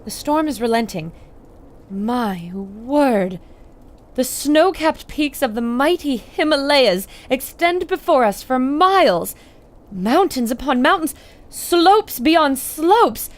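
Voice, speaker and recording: An actress playing an earnest, wonder-struck radio reporter narrating an expedition in old-time serial style. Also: female voice, sits high, lively intonation, takes it slowly. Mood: awed. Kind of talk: 1930s radio reporting acting.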